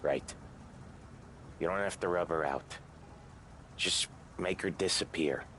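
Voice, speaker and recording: An actor playing a gruff, earnest mob enforcer in a video game cutscene. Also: male voice, takes it slowly, a bit bright, slight background noise. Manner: gruff, low-key and earnest.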